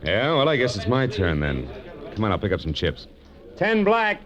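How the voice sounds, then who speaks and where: A man's voice: lowish voice, wildly swooping pitch, normal speed, a crowd in the background. An American actor playing a tough, wry male private detective in 1940s radio noir style, clipped and wisecracking. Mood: brisk, urgent. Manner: hard-boiled, clipped, wisecracking. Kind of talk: 1940s radio noir acting and first-person narration. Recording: muffled old radio drama recording.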